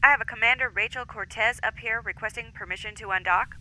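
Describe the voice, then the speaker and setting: An actress playing a deferential officer reporting over a comm channel, hesitant and apologetic. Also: female voice, fairly high pitch, quick pace, bright, forceful tone, low-quality recording. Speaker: station officer on comms.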